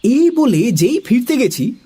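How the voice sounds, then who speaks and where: A woman narrating a comic children's story in an expressive storytelling style. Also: fairly high pitch, measured pace.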